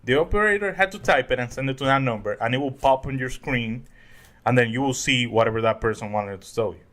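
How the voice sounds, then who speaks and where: A man's voice: medium pitch, quick pace, a bit bright. A man in his twenties from the Dominican Republic, co-hosting a podcast in casual, jokey, rambling chat. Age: 20s. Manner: jokey, rambling, laughs often. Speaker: podcast co-host.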